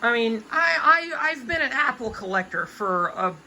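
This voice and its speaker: A young woman around twenty with a bright, forceful voice that sits a little high. She talks off the cuff to viewers in an emphatic, folksy, colloquial rant, with faint noise behind her. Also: big pitch swings, moderate pace, rough recording. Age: about 20. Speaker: hobby collector giving advice. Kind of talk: casual unscripted rant to viewers.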